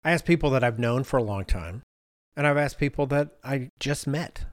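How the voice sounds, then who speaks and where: A man's voice: mid-range voice, normal speed, dry recording. Man in his fifties, dry close sound. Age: 50s. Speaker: podcast host and blogger. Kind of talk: conversational discussion, reading out responses.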